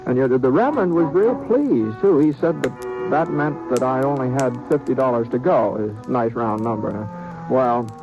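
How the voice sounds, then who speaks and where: An actor playing an easygoing drifting gunman in an old radio western, with a halting, folksy drawl. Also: mid-range voice, lively intonation, muffled recording, close to an everyday voice. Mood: narrating. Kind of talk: 1950s radio drama acting. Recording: old radio recording with music behind.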